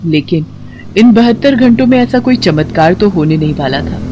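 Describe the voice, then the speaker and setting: A woman's voice: medium pitch, lively intonation, moderate pace, muffled recording, very noisy. A woman narrator reading a scripted story in a dramatic storytelling style.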